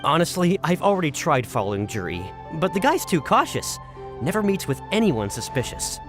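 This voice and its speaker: An actor playing an excitable, emphatic young male informant in a video game, an exasperated and eager underling. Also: on the high side, a little fast, over music. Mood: frustrated.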